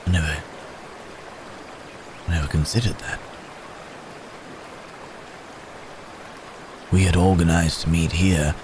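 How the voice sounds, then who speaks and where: A man playing a gentle, troubled wounded man cursed as a werebeast in an ASMR roleplay, speaking haltingly in formal, old-fashioned phrases with sighs. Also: low voice, background noise. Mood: puzzled.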